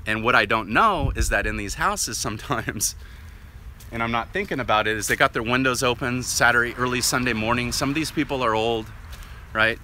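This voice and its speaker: A man vlogging while walking outdoors. He talks loudly and casually, with no microphone on, and keeps tossing in "right?". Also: mid-range voice, slight background noise. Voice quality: loud voice. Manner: rambling, chatty, often asks "right?". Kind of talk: casual walk-and-talk monologue. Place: outdoors on a residential street, walking. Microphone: no worn mic, camera or phone at hand.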